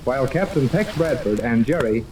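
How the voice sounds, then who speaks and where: A man's voice: medium pitch, expressive, normal speed, close to an everyday voice. A man narrating a 1930s radio serial as its dramatic announcer, in scripted recap style. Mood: dramatic, solemn. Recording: echoey, distant, noisy old 1930s radio.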